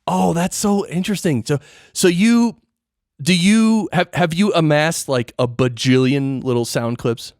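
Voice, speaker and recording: A man hosting a casual interview, animated and curious, often restarting his sentences. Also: on the high side, unhurried, no echo, studio quality. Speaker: podcast host and interviewer.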